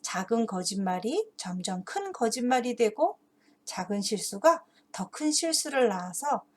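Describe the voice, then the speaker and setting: A woman explaining a children's story and its moral as a teacher, earnest and deliberate, phrase by phrase. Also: on the high side, very animated. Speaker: host and teacher of an English Bible story channel for parents.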